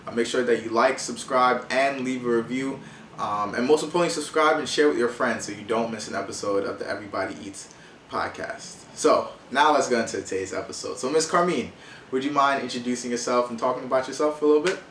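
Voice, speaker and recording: A man's voice: medium pitch, fast, fairly bright tone, recorded from a distance. A young man in his twenties hosting a podcast, chatting and asking questions in an informal, slangy way. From Haitian background. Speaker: podcast host. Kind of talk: casual chat, interviewing. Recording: echoey room, off-mic, faint noise.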